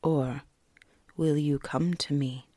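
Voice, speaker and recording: A woman voicing a young fairy king, cold and taunting, low and slow, dry close sound. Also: animated, no room sound, full character voice. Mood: soft, coaxing.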